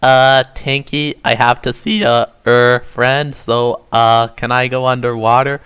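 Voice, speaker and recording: A man's voice: medium pitch, little pitch movement, a little slow, a bit bright, clean tone, close to an everyday voice. A man voicing a shy, lovesick young troll boy who talks hesitantly, full of uhs, in an amateur fan fiction reading. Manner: hesitant, stammering with frequent uhs.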